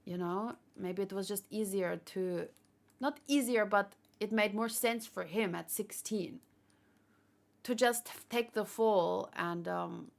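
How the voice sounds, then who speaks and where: A woman's voice: medium pitch, gravelly, a bit bright. A woman hosting a livestream, chatting casually and thinking aloud in a rambling way, tired from a long session. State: tired after hours of streaming. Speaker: livestream host. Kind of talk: casual chat, fan theorizing to live viewers.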